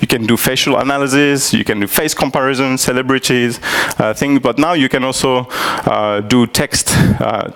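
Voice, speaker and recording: Gravelly man giving a tech talk. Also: medium pitch, fairly even intonation, moderate pace, hardly any pauses, fairly bright tone. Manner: hesitant, many fillers and restarts. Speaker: tech conference speaker. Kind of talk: live technical presentation. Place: conference hall.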